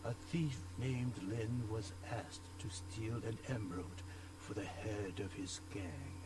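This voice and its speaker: Slow, sinister low-voiced shopkeeper. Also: male voice, background noise. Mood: cryptic, measured. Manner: measured, formal, ominous storytelling. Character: cryptic, sinister antique shopkeeper, a calm morbid storyteller. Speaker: game character, shopkeeper. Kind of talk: dramatic video game acting.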